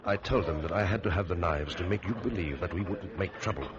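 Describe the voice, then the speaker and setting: A man playing a calm, determined prisoner accused of murder in an old-time radio drama, speaking in an earnest, low-key, persuasive way. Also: fast talker, over a noisy crowd, close to an everyday voice. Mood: urgent, confiding. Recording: noisy, muffled vintage radio recording.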